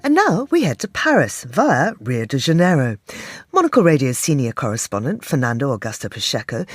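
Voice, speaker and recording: A woman's voice: low voice, slightly rough, no echo, clean studio sound. A woman presenting a radio programme, reading polished, scripted introductions. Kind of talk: scripted news links.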